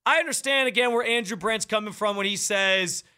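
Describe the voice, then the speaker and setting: A young man hosting sports talk radio, loud and projecting, with a fired-up, opinionated delivery at a studio microphone. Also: high voice, a little fast.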